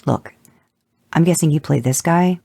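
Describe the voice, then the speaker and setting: A man's voice: fairly high pitch, a little slow, gravelly, dark tone, no room sound, voice pushed up. A man doing a firm, take-charge hunter. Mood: urgent. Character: level-headed, take-charge male hunter.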